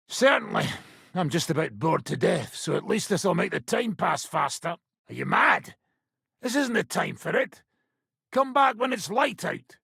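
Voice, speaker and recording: Cocky crude brit mercenary for a game, super gravelly, voice jumps all over the place. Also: male voice, sits high, a bit bright, dry recording, studio quality, heavily acted. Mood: instructing, teasing. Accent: British. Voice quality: gravelly, raspy. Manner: swaggering, rough, teasing. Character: boisterous hard-drinking male mercenary swordsman, cocky and crude. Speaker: combat trainer. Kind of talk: video game character dialogue.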